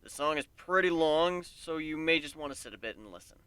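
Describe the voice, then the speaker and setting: A man giving an animated first-person dramatic reading of a fan fiction story. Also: a little high, fairly quick, clear, resonant tone, no echo. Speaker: amateur story reader.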